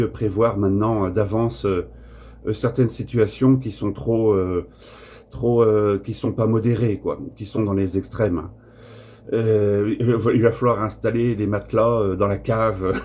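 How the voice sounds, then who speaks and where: A man chatting casually as an amateur radio operator, talking in a rambling, hesitant, friendly-paced French radio-contact style with a husky voice. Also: lowish voice, dark tone, a little hiss or hum.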